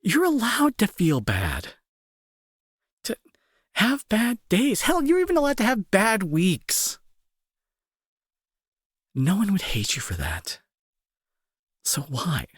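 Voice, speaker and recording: A young man playing a gruff school bully who is fearful and protective underneath, in an intense, halting, pleading audio roleplay style. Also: sits high, huge pitch swings, no room sound, studio quality, full character voice. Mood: earnest, pressing. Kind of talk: dramatic audio roleplay acting.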